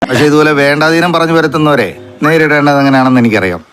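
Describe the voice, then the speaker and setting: A man's voice: a little high, fast talker, a bit bright, close to an everyday voice. An actor playing a stern, confident man in a film scene. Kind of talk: film dialogue. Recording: film clip with faint music.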